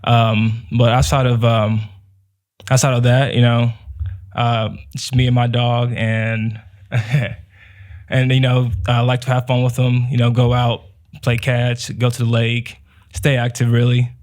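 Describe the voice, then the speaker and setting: A young man from Nigeria, answering as a guest in a relaxed way with many fillers. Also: mid-range voice, measured pace. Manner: relaxed, many "um" and "you know". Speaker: guest, business owner. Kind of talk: casual interview answers.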